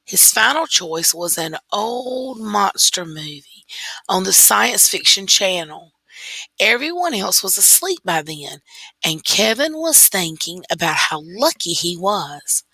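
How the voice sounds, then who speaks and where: A woman reading a children's story aloud in a deliberate, expressive way, stressing key words. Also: medium pitch, husky, dry recording, poor audio. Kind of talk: scripted story read-aloud.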